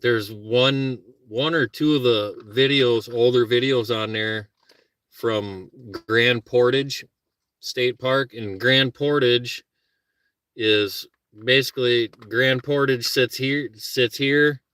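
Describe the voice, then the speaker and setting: A middle-aged man with a Minnesota accent rambles to his viewers from inside his car. He chats loosely and pokes fun at himself. His voice is bright and forward, and the recording is close and dry with no room around it. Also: medium pitch, fairly even intonation, slow, clear, resonant tone, dry recording. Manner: rambling, self-deprecating, talks to viewers and his dog. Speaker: car-camping vlogger. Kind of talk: casual live chat. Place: parked car while car camping.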